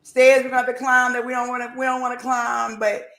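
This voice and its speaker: A woman's voice: on the high side, fast talker. A woman in her forties hosting a podcast over a video call, chatting casually and repeating phrases for emphasis. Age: 40s. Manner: animated, repeats phrases, faith-filled talk. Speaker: podcast host. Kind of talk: casual chat. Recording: echoey room.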